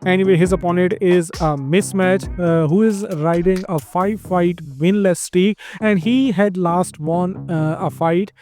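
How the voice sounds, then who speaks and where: A man with an Indian accent hosts an informal fight news roundup, speaking to viewers in a halting, hesitant way. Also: high-pitched, steady tone, a little mellow, soft background music. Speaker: host of a fight news show.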